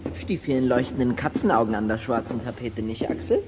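A man's voice: mid-range voice, a little fast, close to an everyday voice. Man playing a curious boy detective, noisy background. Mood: cheeky. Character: curious, well-spoken, cheeky boy detective. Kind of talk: German audio drama acting.

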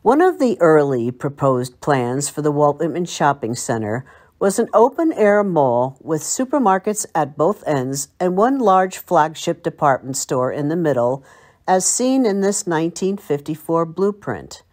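A woman's voice: sits low, very animated, a little slow, darkish tone. An older woman with an American accent, from Long Island, narrating a scripted local history piece in a reminiscing, storytelling manner. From Long Island, United States. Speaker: library local history presenter.

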